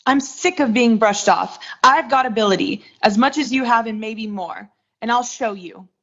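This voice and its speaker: A woman's voice: on the high side, moderate pace, fairly bright tone. A teenage girl playing an ambitious, defiant young woman who narrates her story in a 1940s suspense radio play. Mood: defiant, bitter. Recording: muffled home voice track, music behind.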